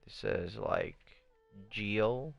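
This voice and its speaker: A man's voice: fairly low pitch, huge pitch swings, very slow, gravelly, no echo, highs cut off, soft background music. A man chatting casually as he streams a game, muttering in a laid-back way and swearing often. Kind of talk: casual gameplay stream chat.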